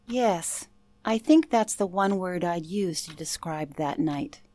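An actress playing a composed, politely firm elderly former telephone operator in a radio drama, with measured, formal phrasing. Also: female voice, on the low side, animated, mellow, no echo. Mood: composed, reticent. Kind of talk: radio drama interview acting.

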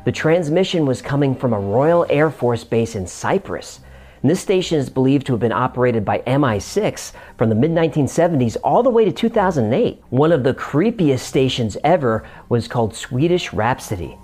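A man's voice: medium pitch, very animated, moderate pace, a little mellow. A man narrating a scripted explainer as host, with a wry manner and dry asides.

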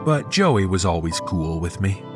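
A man's voice: low voice, big pitch swings, measured pace, music in the background. A man reading a scripted first-person horror story as its narrator.

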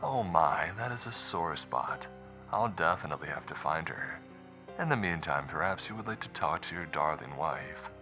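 A man voicing a taunting kidnapper in an audiobook, calm, mock-polite and menacing, with unhurried delivery. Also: extremely low pitch, highs cut off, over music. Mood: mocking menace. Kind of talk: audiobook character dialogue.

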